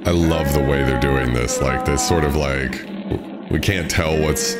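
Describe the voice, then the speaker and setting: A man's voice: a bass voice, steady tone, measured pace. A man around his thirties or forties chatting casually as the host of a livestream. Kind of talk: casual chat. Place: indoor room, streaming setup. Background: loud music.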